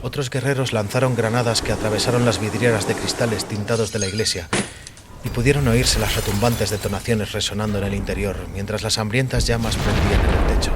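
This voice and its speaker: Male narrator, slightly deep voice, solemn dramatic audio fiction, literary delivery, noisy rain background. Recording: clearly noisy, rain behind voice.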